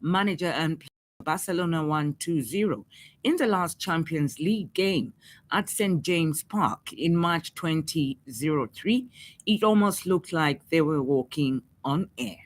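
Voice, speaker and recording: A woman's voice: deep voice, lively intonation, a little slow. Zambian woman reading radio news over music. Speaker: news reader. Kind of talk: scripted radio news bulletin.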